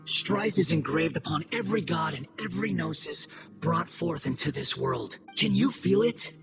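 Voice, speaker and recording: A man's voice: a little high, animated, normal speed, creaky. A man playing an arrogant, scornful newborn god in a video game, theatrical and grandiose, with a sneering manner. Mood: exultant. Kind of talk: English video game cutscene voice acting. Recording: music playing behind the voice.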